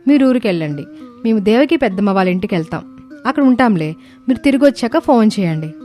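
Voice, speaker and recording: A woman voicing a stingy, scheming young daughter-in-law in an animated moral story, pushy and exaggerated. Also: a little high, quick pace, soft background music, pitched above the performer's own voice, natural voice. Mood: resigned, wheedling.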